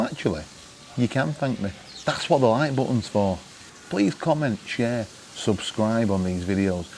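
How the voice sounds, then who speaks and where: A man's voice: fairly low pitch, darkish tone, a little hiss or hum, rough recording. A British man chatting casually as a vlog host, with a jokey, wry, mock-exasperated way of telling things. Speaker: vlog host giving travel gadget tips. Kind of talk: casual chat to camera.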